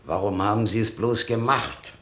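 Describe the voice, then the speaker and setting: An actor playing a dry, sardonic, matter-of-fact death-row prison guard in a German radio play. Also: male voice, lowish voice, steady tone, moderate pace, a bit bright, close to an everyday voice. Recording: echoing, roomy cell acoustic.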